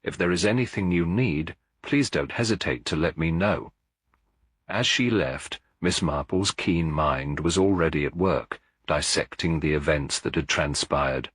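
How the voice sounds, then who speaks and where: A man narrating a scripted mystery story in a measured, literary storytelling manner. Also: very deep voice, fairly bright tone, slightly rough, no room sound, clean studio sound. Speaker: audiobook narrator.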